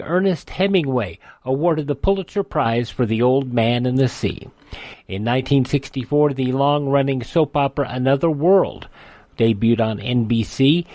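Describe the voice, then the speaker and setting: A man's voice: medium pitch, a little mellow, no echo. A man with an American accent reading a scripted, news-style history narration. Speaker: radio history segment narrator.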